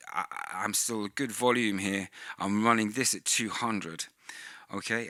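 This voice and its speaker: Bright-voiced man close on a mic. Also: lowish voice, creaky, dry recording. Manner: close-miked, talks right on the mic at times. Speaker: host. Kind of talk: casual gear tutorial. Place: indoor room, dim light. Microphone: vocal mic with pop screen through mixer.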